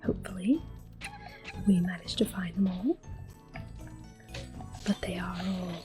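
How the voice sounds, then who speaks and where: Slow, whispering woman doing ASMR commentary. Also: fairly low pitch, over music. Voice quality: hushed, breathy whisper. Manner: gentle, slow, unhurried. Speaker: gameplay video host. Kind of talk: soft-spoken ASMR game commentary.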